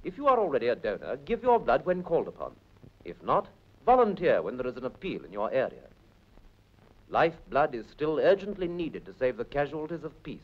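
A man's voice: mid-range voice, big pitch swings, brisk. A British man narrating a 1940s public information film with an earnest, formal appeal. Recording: dull 1940s film soundtrack.

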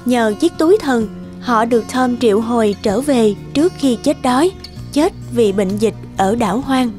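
A woman's voice: fairly high pitch, lively intonation, normal speed, darkish tone. A woman narrating a scripted fairy tale for a cartoon. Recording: music under the voice. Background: background music.

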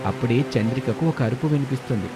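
A man's voice: mid-range voice, fast, dark tone. A man narrating a scripted moral story as a storyteller. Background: background music.

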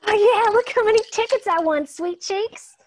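A woman's voice: very high voice, moderate pace, background noise. A woman reading a brash, aggressive, violent woman in a comedy table read.

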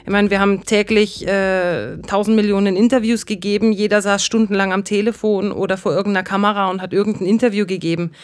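A woman's voice: mid-range voice, steady tone, quick pace, few pauses. A woman in her thirties from Bavaria, giving a casual, reflective interview. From Bavaria, Germany. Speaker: interviewee, former casting show contestant.

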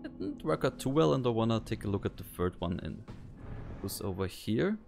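A man streams a game and rambles on casually, stacking up fillers as he talks to viewers. His voice is low and gravelly. Faint game music plays behind him. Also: very animated, fairly quick, darkish tone. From Austria. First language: German. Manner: rambling, chatty, many fillers. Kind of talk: casual let's play commentary.

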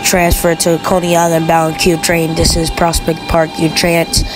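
Formal lady announcer giving train service instructions, bit low, music in the back. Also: monotone, normal speed, poor audio. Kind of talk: scripted service-change announcements.

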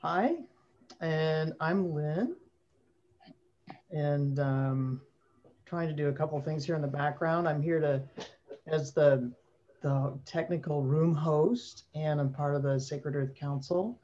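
A woman from Northern California, the technical host, introducing herself in a relaxed, friendly way on a video call. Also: deep voice, takes it slowly. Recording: muffled call with faint noise.

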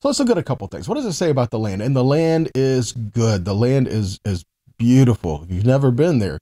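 A man in his forties teaches scripture in a soft-edged, mellow voice at a middle pitch. He moves between reading verses and conversational explanation, stressing key words and putting rhetorical questions to the listener. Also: big pitch swings, brisk. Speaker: Bible teacher.

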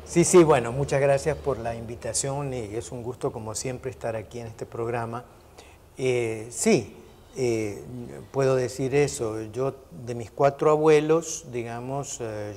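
An older man from Argentina speaks as an interview guest in a reverberant room. He is in his sixties and explains things in a measured, professorial way at a medium pitch. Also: a little mellow, slight background noise. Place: brick-walled indoor room, television set. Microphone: lapel mic.

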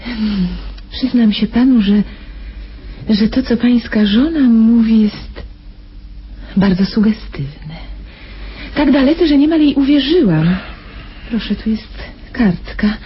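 A woman's voice: on the high side, animated, takes it slowly, long pauses. An actress playing a condescending, superstitious private nurse in a radio drama, coaxing her patient with patronizing endearments. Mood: hesitant, confiding. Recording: dull, noisy old radio drama recording.

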